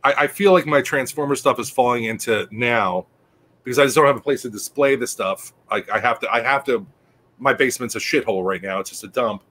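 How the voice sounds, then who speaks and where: Male co-host, bright forceful tone, stammering, crude humor, casual chat. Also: mid-range voice, a little fast. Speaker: podcast co-host.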